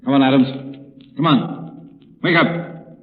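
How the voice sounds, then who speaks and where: Tinny old radio, male actor as menacing henchman, rough slang, mid-pitched, jumpy. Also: steady tone, takes it slowly, natural voice. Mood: rough, mocking. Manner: rough, slangy tough-guy talk. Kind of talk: old-time radio drama acting. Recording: thin, phone-like old radio recording.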